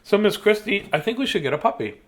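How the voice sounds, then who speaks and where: A man in his fifties reads children's bedtime picture books in an animated, playful, childlike way, with chatty asides. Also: high voice, fast. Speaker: children's storyteller. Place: small decorated room indoors.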